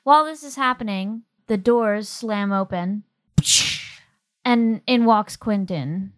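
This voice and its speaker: A woman's voice: medium pitch, slow. A woman running a tabletop game as game master, narrating casually.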